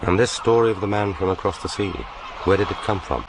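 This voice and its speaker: A man's voice: fairly low pitch, steady tone, quick pace, noisy background, close to an everyday voice. An actor playing a wry, urbane Western man in a radio drama, courteous and self-deprecating, with dry, mock-formal phrasing. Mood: curious, low.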